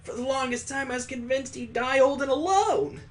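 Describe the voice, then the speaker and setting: A man's voice: very high voice, normal speed, a little hiss or hum, voice pushed up. A man voicing a jovial, sentimental old father-in-law, hearty and earnest, in a visual novel read aloud. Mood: jovial, teasing.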